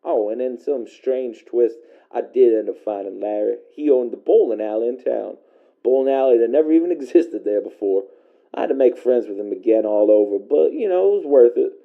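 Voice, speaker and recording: An actor voices a weary, working-class man phoning in to an eerie radio drama. His voice is middling in pitch with a heavy gravelly fry, and he tells his tale in a colloquial, hesitant way. The sound is narrow and tinny, like a call coming over the radio. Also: expressive. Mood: relieved, reflective. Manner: folksy, colloquial, halting, hesitant delivery. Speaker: caller on a fictional radio call-in show. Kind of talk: first-person storytelling monologue, audio drama.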